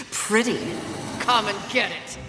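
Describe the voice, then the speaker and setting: A woman playing a haughty, sardonic apocalyptic horseman in a video game, with a dry, contemptuous delivery. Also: mid-range voice. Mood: mocking. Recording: echoey reverberant space, loud music behind.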